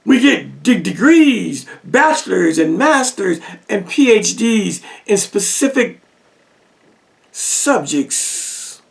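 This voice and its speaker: A man lectures on religion at a slow, deliberate pace, stacking rhetorical questions and repeating lines for effect. His voice sits high and carries a gravelly edge. He sounds set back from the microphone. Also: big pitch swings, distant mic. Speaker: religious teacher. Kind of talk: lecture-style monologue.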